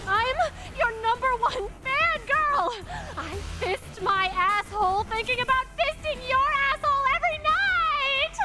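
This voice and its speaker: A very high, swooping female voice for a video game villainess, an obsessive mad inventor. She gushes theatrically and seductively, with a manic edge, over background music. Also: very animated, moderate pace. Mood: frantic, unhinged. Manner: gushing, theatrical, seductive, swinging into mania.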